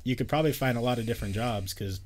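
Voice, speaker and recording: A man's voice: medium pitch, racing. Laughing man in crude banter. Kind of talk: rowdy casual chat with friends.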